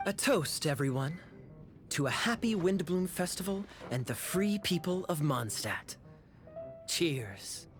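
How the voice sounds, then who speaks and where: A man voicing a smooth, teasing male knight captain in a video game dub. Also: a little high, animated, slow, faint music behind. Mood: wry.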